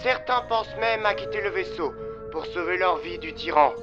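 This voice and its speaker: Casting: male narrator, high-pitched, dramatic scripted storytelling, strong music bed. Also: animated, normal speed, dull audio.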